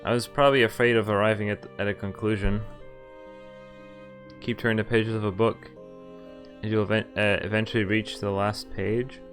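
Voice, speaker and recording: Man, slightly low voice, conversational game read-aloud, occasional stumbles, faint music behind. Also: little pitch movement, moderate pace, pauses often, fairly bright tone. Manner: conversational, stumbles over lines and corrects himself. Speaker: streamer reading a visual novel aloud. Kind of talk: live read-aloud of visual novel dialogue and narration. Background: visual novel music.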